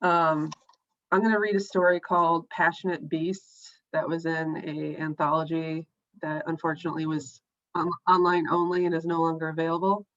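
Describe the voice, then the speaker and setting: A woman reads her own dark fiction aloud. She sounds a bit scratchy and nasal and has a lowish voice. The audio comes through a dull, muffled video-call line. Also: flat delivery, moderate pace. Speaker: author reading her fiction. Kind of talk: reading a story aloud. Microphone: home computer mic on a video call.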